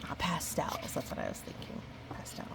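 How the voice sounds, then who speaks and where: A woman reading a children's picture book aloud, gently, breaking off for musing, chatty asides to a young listener. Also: moderate pace, loud music behind the voice. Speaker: storybook reader.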